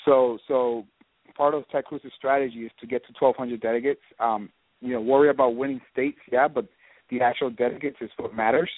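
A man's voice: mid-range voice, steady tone, brisk, no room sound. A man from Miami, born in Puerto Rico, giving an earnest, explanatory political interview as a guest. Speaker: guest, local party vice chairman and political campaign surrogate. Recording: narrow phone-like line.

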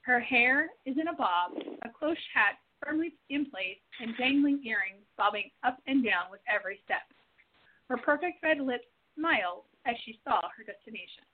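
A woman's voice: a little high, animated, normal speed. A woman reading the narration and stage directions of an amateur radio play. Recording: tinny phone-like recording.

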